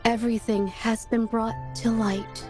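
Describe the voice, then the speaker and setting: A woman playing a shy, earnest young female painter in an anime-style video game, gentle-hearted and sincere. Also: fairly high pitch, little pitch movement, measured pace, close to an everyday voice. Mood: firm accusation. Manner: soft-hearted, earnest, hesitant when flustered. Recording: music behind the voice. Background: game soundtrack music.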